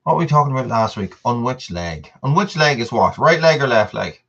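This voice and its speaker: A man's voice: mid-range voice, lively intonation, brisk, a bit bright, lo-fi sound. A man, hosting a casual live stream and chatting to viewers. He rambles, repeats himself and laughs often. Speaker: channel host on a live stream.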